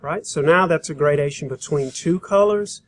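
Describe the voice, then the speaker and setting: Man with a higher-pitched voice, informal tutorial. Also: a little hiss or hum. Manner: folksy, halting, thinking aloud while demonstrating. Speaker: graphic design instructor. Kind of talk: informal software tutorial demonstration.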